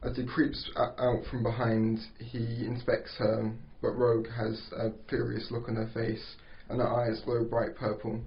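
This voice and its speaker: A man narrating stage directions at an amateur script table read, halting and stumbling over words. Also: mid-range voice, completely flat delivery, creaky, a little hiss or hum. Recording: dull lo-fi recording.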